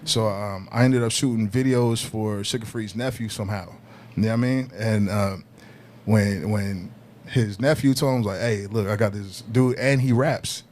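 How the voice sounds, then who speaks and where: Man, deeper voice, laid-back storyteller, casual podcast chat, faint background noise. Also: moderate pace, a little mellow. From Southern California. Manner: laid-back, peppered with "you know what I mean", laughs as he tells it. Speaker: guest hip hop artist.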